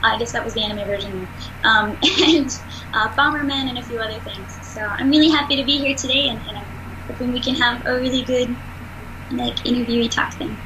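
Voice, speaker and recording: A woman with a high, nasal voice talks casually as an interview guest. She is chatty and self-deprecating and laughs often. There is a faint hum in the background. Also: fairly quick. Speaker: singer and voice actress, guest.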